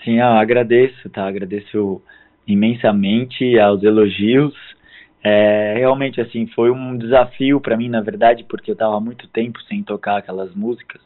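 A hoarse man in his thirties from southern Brazil, a guest musician speaking politely and hesitantly in a phone interview. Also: fairly low pitch, fairly even intonation, moderate pace. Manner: hesitant, filler-heavy, polite. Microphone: phone line. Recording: tinny phone line.

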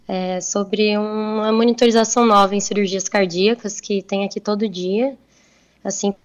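A young woman from central Brazil answers interview questions informally. Her mid-range voice comes through a remote connection that sounds dull and lo-fi. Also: mid-range voice. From Goiás, central Brazil. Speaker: medical resident, interview guest. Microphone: remote call.

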